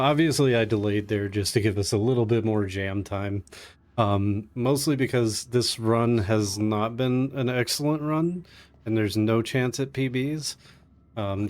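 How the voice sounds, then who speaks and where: Low-pitched man, unhurried, gaming chat. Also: steady tone, a little mellow. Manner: jokey, self-deprecating. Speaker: speedrunner playing live. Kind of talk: casual live-stream gameplay chat. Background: game music.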